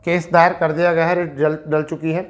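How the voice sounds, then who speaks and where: A man's voice: a little high, little pitch movement, fairly quick. A man with an Indian accent giving an informal explainer from an on-screen document, repeating himself and answering his own questions, with English terms mixed in. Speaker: educational video presenter.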